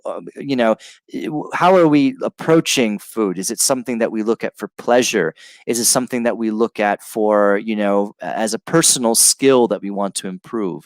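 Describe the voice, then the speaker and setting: A man teaching English on a live stream, reading out viewer comments and chatting about them in a relaxed way, with lots of fillers and restarts. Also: lowish voice, no room sound. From Brazil and California, United States.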